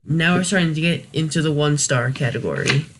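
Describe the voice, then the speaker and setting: Male video host, voice a bit high; unscripted, casual delivery, tentative and self-correcting. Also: fairly quick, over music, low-quality recording. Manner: hesitant, thinking aloud, self-correcting. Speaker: host of a video review series. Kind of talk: casual unscripted commentary, rating scenarios.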